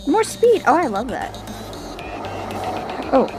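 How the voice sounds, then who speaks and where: Animated, highish-voiced woman gamer. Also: slow, poor audio. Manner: excitable reactions, slangy. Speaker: gaming streamer. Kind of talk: casual gameplay commentary. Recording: game music behind the voice. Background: video game music and sounds.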